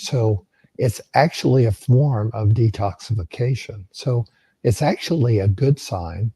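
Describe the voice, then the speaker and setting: A hoarse man with a very dark, husky voice, explaining physiology in a live question-and-answer session. He pauses often with ums and uhs, and the sound is dry and close. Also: on the low side, no echo. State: hoarse, losing his voice. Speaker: co-host answering health questions.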